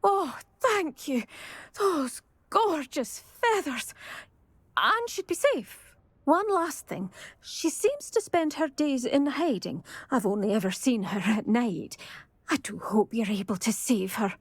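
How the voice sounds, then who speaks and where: A woman's voice: high voice, lively intonation, slow, breathy edge, dry recording, full character voice. An actress playing a vain, chatty, fashion-minded woman in a video game, speaking with breezy, polite self-satisfaction. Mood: coveting, self-satisfied. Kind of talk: video game character dialogue.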